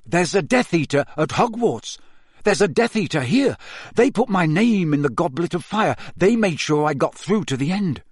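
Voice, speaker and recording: A frightened, shaken teenage boy wizard, as a male narrator puts him on, with an urgent and strained voice. It sits higher than the narrator's own and leaps about with alarm. The recording is close and dry. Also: animated, moderate pace, slightly rough, no room sound. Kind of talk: audiobook character voice.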